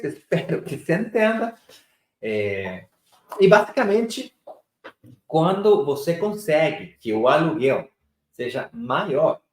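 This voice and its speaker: A man in his thirties with a Chilean Spanish accent hosts a chatty show, his voice a little high and very animated. He backtracks and corrects himself now and then. The sound rings with room echo. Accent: Chilean Spanish accent in Portuguese. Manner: chatty, self-correcting, drifts into Spanish words. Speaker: host, real estate broker. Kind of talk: casual presenting to camera.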